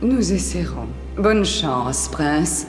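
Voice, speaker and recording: A regal, scheming middle-aged evil queen in a cartoon fairy tale. Her voice is on the low side, haughty and theatrical, echoing noticeably over clear background music. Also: lively intonation, very slow, exaggerated voice. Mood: cold.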